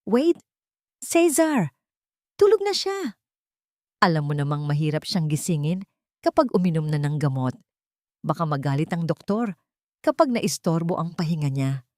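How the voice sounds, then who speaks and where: Scheming young fiancée for an audio story, sharp and two-faced, low voice, read by a woman narrator, dry close sound. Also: big pitch swings, normal speed, pauses often, no room sound. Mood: panicked. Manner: sharp and threatening, then falsely sweet.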